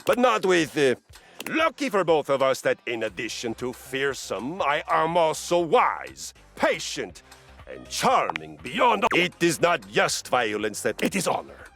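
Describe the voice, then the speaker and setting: An actor playing a boastful, swaggering male warrior who coaches fitness, in a booming, theatrical game voice. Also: vocal fry, fairly bright tone, heavily acted. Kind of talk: game voice acting.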